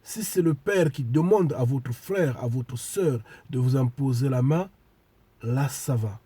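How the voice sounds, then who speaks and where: Slow, husky man with a cold. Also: mid-range voice, warm tone, no echo. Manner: deliberate, emphatic, repeats key phrases. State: congested with a cold. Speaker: spiritual preacher. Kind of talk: video sermon to followers.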